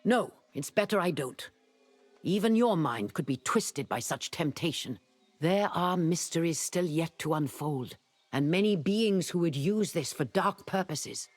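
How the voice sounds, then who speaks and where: A husky, solemn fantasy guardian. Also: male voice, a little high, expressive, a little slow, faint music behind. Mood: grave warning. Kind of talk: dramatic fantasy game acting.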